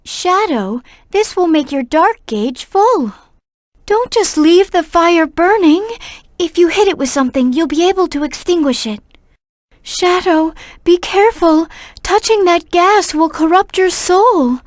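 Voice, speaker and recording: A woman voicing a gentle, earnest young girl in a video game, caring and polite in the way she talks. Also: extremely high pitch, animated, unhurried, no echo, studio quality. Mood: gentle, helpful.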